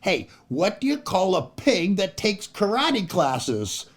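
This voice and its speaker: Animated, unhurried male children's storyteller. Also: high-pitched, husky. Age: older. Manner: playful, exaggerated, theatrical delivery. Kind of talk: animated kids' show hosting, joke telling and read-aloud.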